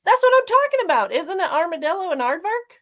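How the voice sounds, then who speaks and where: A woman's voice: very high voice, very animated, quick pace, crowd noise. A woman co-host in casual banter, playful and ready to mock herself. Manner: playful, self-mocking.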